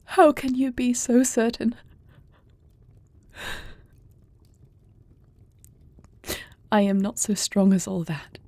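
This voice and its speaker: A woman playing a young dragon princess and dutiful heir in an intimate audio roleplay, speaking in a formal, measured, soft-spoken manner. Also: on the high side, no room sound. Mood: anguished, vulnerable.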